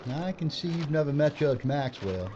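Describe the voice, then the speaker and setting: A man's voice: a little high, normal speed, lo-fi sound, background noise, lightly acted. A man playing a teasing marshal. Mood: wry. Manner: teasing, folksy, lively. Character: wry frontier federal marshal, talkative. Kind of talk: audio drama acting.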